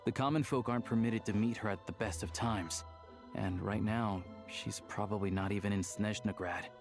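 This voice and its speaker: A man's voice: lowish voice, steady tone, moderate pace, faint music behind, lightly acted. An actor playing an easygoing, worldly young man in a video game, talking in a conversational, confiding way. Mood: informative. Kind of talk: video game dialogue acting.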